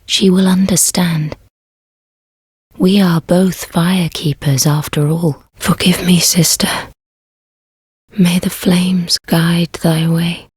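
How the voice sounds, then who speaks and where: A woman playing a gentle, devoted maiden in a fantasy video game, speaking archaic lines in a hushed, breathy, solemn way. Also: fairly low pitch, flat delivery, dry recording, exaggerated voice. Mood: tender, hushed. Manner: slow, solemn archaic speech. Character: gentle, devoted, soft-spoken young maiden serving a lord.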